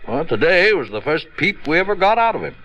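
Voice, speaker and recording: An actor playing a big, easygoing but guarded American police lieutenant in a 1950s radio drama, folksy and skeptical. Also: male voice, fairly high pitch, sing-song, a little fast, sharp tone, poor audio. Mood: easygoing, explaining. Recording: vintage radio recording.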